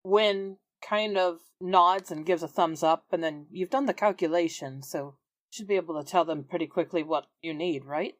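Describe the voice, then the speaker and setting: A woman's voice: on the low side, normal speed, no echo. A woman player talking casually during a tabletop game.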